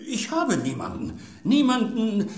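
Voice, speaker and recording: An actor playing an eccentric, devoted scientist in an audio drama, hesitant, rambling and formally polite. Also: male voice, high-pitched, wildly swooping pitch, measured pace, a little mellow, reverberant, full character voice. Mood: flustered, chatty. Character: eccentric, devoted male scientist, easily sidetracked. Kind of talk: German audio drama acting.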